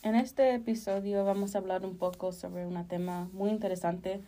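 Young female podcast co-host, flat intonation, soft dark tone, casual and self-correcting. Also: medium pitch, moderate pace. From Chicago, United States. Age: early 20s.

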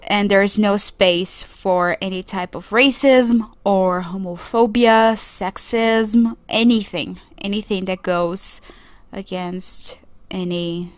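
A young woman from Brazil speaks English, hosting a podcast alone in an earnest, reflective way. She talks at an unhurried pace with pauses as she searches for words. The recording is dry and close, with no room sound. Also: mid-range voice, fairly even intonation, clean tone, no room sound. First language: Portuguese. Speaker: podcast co-host. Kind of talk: solo podcast commentary.

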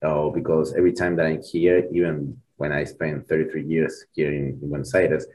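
A man in his forties from Colombia with an Argentine Spanish accent, speaking English as a second language in a relaxed, rambling interview chat full of fillers and restarts. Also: very deep voice, normal speed. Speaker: band member guest.

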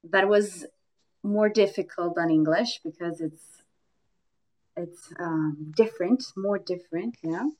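A woman's voice: fairly low pitch, a little slow, darkish tone, rough recording. A young adult woman from Argentina with an Argentine Spanish accent, speaking English hesitantly with many fillers in casual chat. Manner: hesitant, frequent fillers and laughter. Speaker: guest, yoga teacher.

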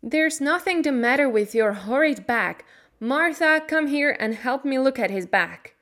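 A cross, stubborn little girl from a children's story, played by a woman narrator. She is blunt and scolding, with a raised pitch and bossy delivery. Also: expressive, measured pace.

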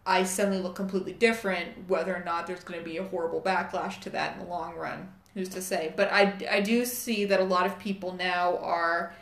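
A woman's voice: lowish voice, fairly even intonation, brisk, creaky, few pauses. A young adult woman co-hosting a podcast, talking in a thoughtful, hedging way with many fillers and self-corrections. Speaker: co-host, company staff. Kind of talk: casual podcast chat. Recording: echoey room.

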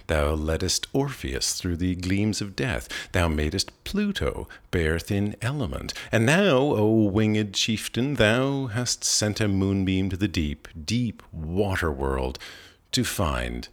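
A man reads Romantic verse aloud in an expressive literary recitation, with deliberate, dramatic phrasing. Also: low-pitched. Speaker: poetry reader.

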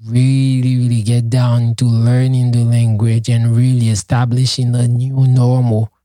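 A man whose native tongue is Haitian Creole chats on a podcast, his voice mellow and relaxed. He talks with earnest passion, stumbling through fillers and restarts. The sound is dry and close. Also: mid-range voice, measured pace, dry recording. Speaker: podcast co-host and project founder. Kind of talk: casual podcast chat.

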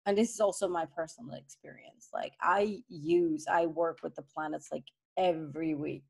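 A woman from Switzerland co-hosting a podcast, talking casually with animated restarts and fillers. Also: deep voice, normal speed, vocal fry, slightly rough. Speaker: podcast co-host. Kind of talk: casual conversation.

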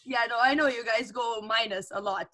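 A woman hosting a casual podcast with a bright, slightly high voice. She talks in a chatty way, leaning on fillers as she welcomes her guest. It has the relaxed feel of a conversation over a remote call. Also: normal speed. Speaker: podcast host.

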